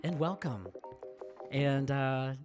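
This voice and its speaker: A man's voice: medium pitch, slow pace, a little mellow, soft background music. This is a man co-hosting a tech podcast in an easygoing, casual way. He laughs often and fills gaps with ums and uhs. His voice sits in an ordinary middle range and comes through his own streaming microphone. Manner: laughs often, loose with fillers. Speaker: podcast co-host. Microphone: streaming setup mic.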